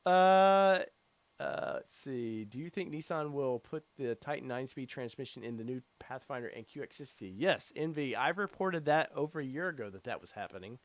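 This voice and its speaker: A man's voice: medium pitch, lively intonation, moderate pace, no echo. A middle-aged man hosting a casual live stream, reading out viewer comments with lots of fillers, his voice getting tired and worn. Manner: rambling, many fillers, reads chat aloud. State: losing his voice. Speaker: podcast host.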